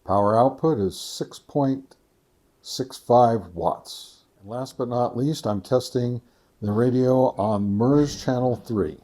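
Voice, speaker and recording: A man with a soft, mellow voice of middling pitch presents a hands-on test. He talks casually but methodically, with halting pauses, and reads out figures deliberately. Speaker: video host, radio reviewer. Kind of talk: casual product test narration.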